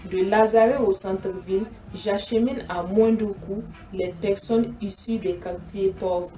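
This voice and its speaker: A woman's voice: mid-range voice, takes it slowly, dark tone, natural voice. A woman voicing an old French pickup truck, a stoical 58-year-old immigrant, in scripted first-person film narration. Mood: calm, reflective. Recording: roomy, distant, faint music behind.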